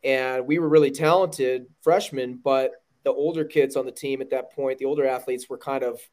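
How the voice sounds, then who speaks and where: A man with a loud voice, talking earnestly and emphatically as a guest in an interview, often restarting his sentences. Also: medium pitch, steady tone, moderate pace. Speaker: podcast guest, running team director. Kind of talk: conversational interview.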